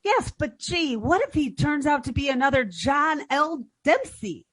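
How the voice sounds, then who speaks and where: A woman's voice: high voice, lively intonation, measured pace, a bit bright, no echo. A woman playing a naive, dim-witted but earnest young woman in an old-time radio comedy, guileless and sing-song. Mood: hopeful.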